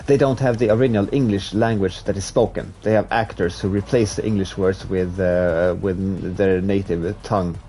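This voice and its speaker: A man's voice: sits low, moderate pace, few pauses, slight background noise. Swedish man chatting while gaming. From Sweden. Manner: relaxed, rambling, with restarts while playing. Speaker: streamer. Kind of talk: casual chat while gaming live.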